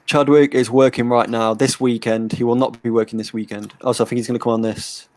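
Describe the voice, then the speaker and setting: A man hosts a casual live watch-along in a relaxed, easygoing way. He keeps reading out viewer comments and giving them shout-outs. His voice sits at an ordinary pitch and sounds close and dry. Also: fast, dry recording. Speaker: host. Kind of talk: casual chat.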